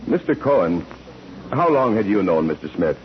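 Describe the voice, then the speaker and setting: An actor playing a formal, relentless courtroom examiner who questions witnesses deliberately in a 1940s radio drama. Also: male voice, lowish voice, sing-song. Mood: businesslike. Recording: muffled, noisy old 1940s radio recording.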